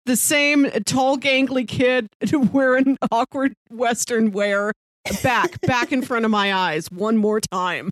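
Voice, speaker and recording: A woman's voice: a little high, slow, no room sound. A woman co-hosting a podcast in casual conversation, talking in a wry, lightly amused way. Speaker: podcast co-host. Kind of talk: casual chat.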